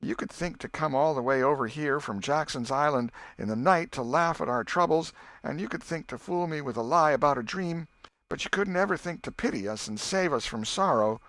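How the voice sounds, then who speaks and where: A man's voice: on the high side, fairly quick, fairly bright tone, no room sound, voice pushed up. A man acts out an old rustic aunt in a storybook reading. The voice is raised well above a man's usual talk, fretful and reproachful, with folksy country turns of phrase. The sound is dry and close. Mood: reproachful, sorrowful. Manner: folksy rural nineteenth-century speech.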